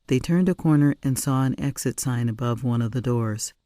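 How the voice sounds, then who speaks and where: A woman narrating a story in an expressive audiobook reading style. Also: very deep voice, normal speed, no echo. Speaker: author or reader narrating a story.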